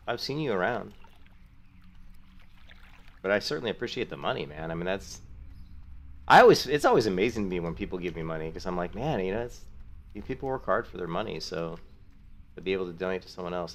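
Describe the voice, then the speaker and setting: A middle-aged man with a sore throat, chatting casually and rambling to his viewers while streaming a game. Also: deep voice. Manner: friendly, rambling, thanks viewers. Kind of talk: casual chat while gaming.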